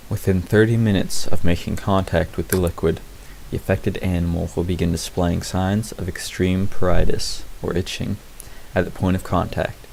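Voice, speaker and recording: A man giving a deadpan, clinical and formal narration of a scripted fictional report. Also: low voice, gravelly, rough recording. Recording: faint hiss behind the voice.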